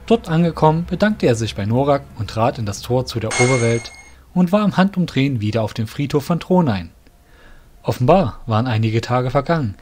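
A man narrates a fantasy tale in a mid-pitched voice. He reads literary prose as a storyteller would, with faint music playing underneath. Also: a little mellow. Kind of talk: scripted fantasy audio drama narration.

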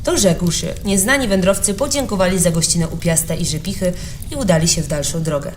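A woman with a slightly low voice narrates an old legend for children. She delivers it as scripted audiobook storytelling. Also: fairly quick, a little hiss or hum.

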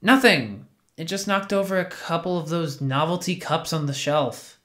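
A man's voice: a little high, animated, normal speed, fairly bright tone. A man playing an earnest, defensive and naively trusting young man in a naturalistic audio drama. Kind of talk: naturalistic audio fiction podcast acting.

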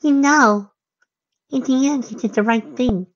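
Man putting on a high, perky talking candlestick. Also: measured pace, fairly bright tone, highs cut off, exaggerated voice. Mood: consoling.

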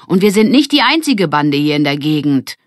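A woman's voice: deep voice, big pitch swings, normal speed, dry recording, studio quality. A woman voicing a bossy, imaginative young girl who leads a gang, in an emphatic, conspiratorial children's audiobook style. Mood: insistent.

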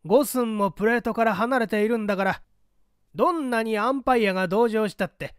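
A man voicing a pompous, brisk older government chief and bluff father with old-fashioned gentlemanly speech in an audiobook story. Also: sits high, clean tone, dry recording, studio quality, pitched above the performer's own voice, natural voice. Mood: jovial, blustering.

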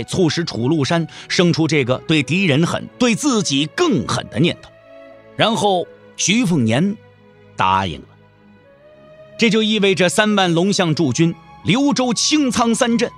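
Guy narrating a wuxia audio drama, pretty high voice, really animated and dramatic, bit of music behind. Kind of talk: dramatic scripted narration of a martial-arts novel.

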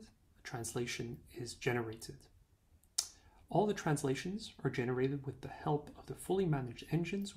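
A young man, a native Czech speaker, giving a clear step-by-step software demo on a video call. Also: mid-range voice. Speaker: product demo presenter.

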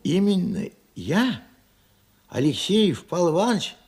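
An actor playing a forgetful, bewildered old grandfather in a radio play, husky and gravelly, muttering and halting as he talks. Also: high-pitched, big pitch swings, a little mellow, heavily acted. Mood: uneasy, bewildered. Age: older. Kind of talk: Soviet radio drama acting.

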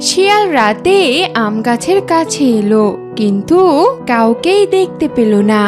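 High, lively woman storyteller. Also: a little slow. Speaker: narrator. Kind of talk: scripted children's story narration. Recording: music under the voice.

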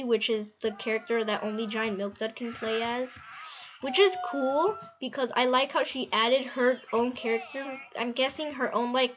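A woman's voice: fairly high pitch, fairly bright tone, muffled recording. A woman giving casual, rambling, easily amazed gameplay commentary and giggling as she goes. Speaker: gaming video host.

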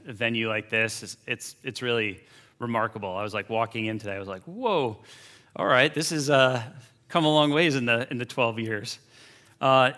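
Guy in his 40s rambling casually through a keynote, crisp bright voice, lots of uhs. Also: mid-range voice. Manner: casual, chatty storytelling with many fillers. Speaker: keynote speaker. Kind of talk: conference keynote talk. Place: large conference hall stage.

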